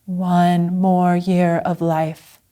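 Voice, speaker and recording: A woman Zen teacher leading a guided meditation in a gentle, unhurried manner with long pauses. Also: fairly low pitch, level tone.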